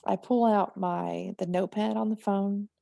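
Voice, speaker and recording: A woman's voice: medium pitch, fairly even intonation, moderate pace, creaky, mellow, dry recording, studio quality. A woman with a slight Southern accent, chatting casually as a guest about her writing, laughing often and acting out her own thoughts. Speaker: guest writer. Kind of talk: casual conversation.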